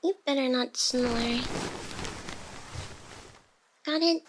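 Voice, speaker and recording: A very high, unhurried female AI acted by a woman speaks in intimate ASMR roleplay. She is teasing and guarded on the surface. Underneath she is tender and smitten, and her confessions come wrapped in denial. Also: background noise. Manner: tsundere, teasing, flustered denials over soft confessions.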